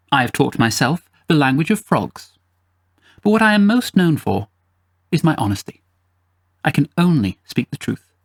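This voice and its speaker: A man voicing a boastful leonine beast who narrates a fantasy story in formal, self-important, theatrical phrasing. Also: on the high side. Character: boastful leonine beast bard, grandiose self-styled honest chronicler.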